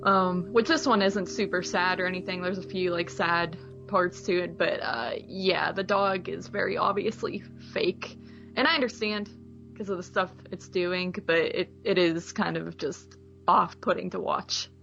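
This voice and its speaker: A woman's voice: fairly low pitch, fairly even intonation, measured pace, gravelly, fairly bright tone. A woman chatting informally and conversationally as co-host of a movie podcast. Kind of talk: casual chat. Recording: dull lo-fi sound, music behind.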